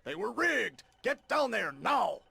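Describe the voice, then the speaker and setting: Forceful, gravelly, irascible newspaper boss. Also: male voice, extremely high pitch, very animated, slow, heavily acted. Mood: irate. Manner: barking orders, forceful. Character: blustering, irascible middle-aged male newspaper boss. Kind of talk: video game cutscene acting.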